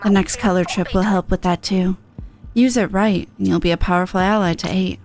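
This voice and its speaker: A woman voicing a cool, laconic young guide from a video game, in a deadpan, measured manner. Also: medium pitch, pitched below the performer's own voice, natural voice. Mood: cool, dry. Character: cool, low-voiced laconic young guide, calm and dry. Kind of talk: character reading of video game dialogue.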